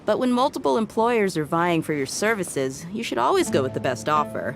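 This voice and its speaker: A woman's voice: on the low side, very animated, brisk, vocal fry. A woman voicing a playful, teasing young female mercenary in a game. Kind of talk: game voice acting. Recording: game music playing loud behind.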